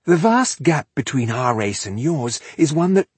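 A man's voice: mid-range voice, sing-song, a little slow, darkish tone, slightly rough, no room sound, voice pushed up. A man voicing an arrogant, sadistic elf warrior in a fantasy audiobook, grandiose and mocking in a theatrical way. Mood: haughty disdain.

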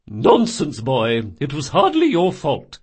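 A man's voice: on the high side, wildly swooping pitch, slow pace, husky, heavily acted. A man voicing a bossy, fierce old grandmother shopkeeper in an audiobook, emphatic and commanding with a strong carrying voice. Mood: brusquely soothing.

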